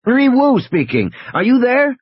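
A man's voice: extremely high pitch, sing-song, moderate pace, breathy edge, no echo, muffled recording, pitched above the performer's own voice, exaggerated voice. A man voicing an earnest male technical expert who explains and reasons, in dramatized audiobook dialogue. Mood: urgent.

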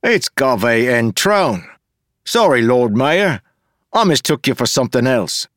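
A man voicing a crude, irreverent young male sidekick with rough slangy banter in an audiobook. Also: medium pitch, very animated, slow, fairly bright tone, dry recording, clean studio sound, voice pushed up. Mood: cheeky.

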